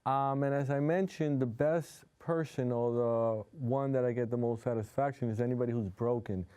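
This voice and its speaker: A man's voice: mid-range voice, steady tone, normal speed, warm tone, smooth. A man from New York City whose first language is Spanish, a hypnotherapist guest talking earnestly and openly in a TV studio interview. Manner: heartfelt, open, speaks with conviction. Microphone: studio TV set.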